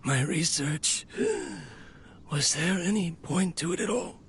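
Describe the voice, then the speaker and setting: Weary inventor, higher-pitched male voice. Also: slow pace, a little hiss or hum. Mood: fading, sorrowful. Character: weary, lonely, ailing male inventor-scholar, wistful and obsessed. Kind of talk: dramatic game monologue.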